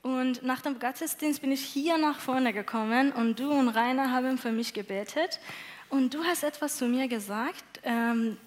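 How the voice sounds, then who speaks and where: A young woman from Iran with a Persian accent, a native Persian speaker, giving an earnest, halting testimony on a church stage with many fillers. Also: high-pitched. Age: young adult. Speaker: church moderator and interpreter.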